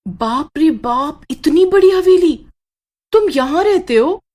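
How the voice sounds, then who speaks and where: A woman voicing an excitable, emphatic young woman in an acted horror storybook. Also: high-pitched, slow pace, voice pushed up. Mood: delighted amazement. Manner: emphatic, questioning, exclamatory. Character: excitable young woman, indignant bride, easily upset.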